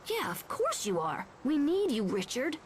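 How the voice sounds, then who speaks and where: A woman's voice: sits high, wildly swooping pitch, a little slow, slight background noise, exaggerated voice. A woman voicing a brave, protective, cocky young boy hero in a video game, with an eager, plucky delivery. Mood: friendly, encouraging.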